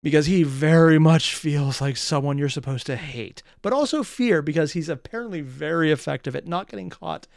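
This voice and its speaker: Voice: medium pitch, normal speed, creaky, few pauses, darkish tone, dry recording, clean studio sound. A reaction host giving casual, blunt commentary, musing aloud and swearing freely.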